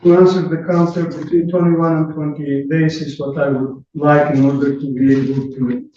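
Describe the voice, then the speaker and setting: A landlord speaking to the judge in a courtroom hearing, halting and slow, restarting his phrases. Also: a little high, dark tone. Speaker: landlord, plaintiff. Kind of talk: courtroom testimony. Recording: very echoey room, distant, muffled, faint noise.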